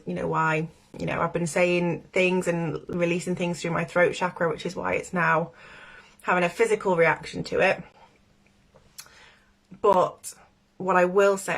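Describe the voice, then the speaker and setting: Snuffly low-voiced woman on a livestream. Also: a bit bright. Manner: chatty, meandering, laughs often. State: congested from a cold. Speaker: livestream host, spiritual coach. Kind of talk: casual livestream chat.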